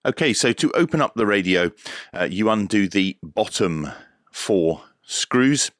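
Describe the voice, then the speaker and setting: A man's voice: fairly low pitch, expressive, measured pace, dry recording, clean studio sound. A man giving a casual, unscripted unboxing review, explaining things as he goes in a hesitant way. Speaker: radio gadget reviewer.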